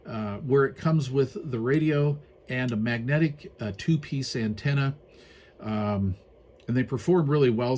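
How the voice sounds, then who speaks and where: A man's voice: medium pitch, darkish tone. A man giving a casual, unscripted hands-on product review in a folksy, plain-spoken way with colloquial turns.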